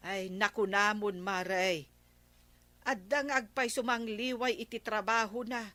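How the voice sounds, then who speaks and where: A woman's voice: mid-range voice, flat delivery, a little slow, pushed and bright, no room sound. A woman with an Ilocano accent playing a gossiping, disapproving village neighbour in a radio drama. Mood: gossipy, disapproving. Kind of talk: radio comedy drama acting.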